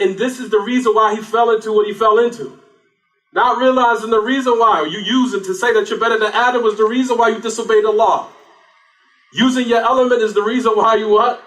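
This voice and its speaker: A man's voice: sits high, fairly bright tone. A man giving a religious lecture, often asking rhetorical questions and then answering them himself. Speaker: Islamic lecturer. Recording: echoey room, distant mic.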